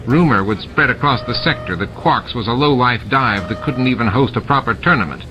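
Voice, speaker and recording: A man's voice: medium pitch, expressive, fairly quick. A man narrating scripted fiction as an audiobook reader. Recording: music and sound effects mixed under narration.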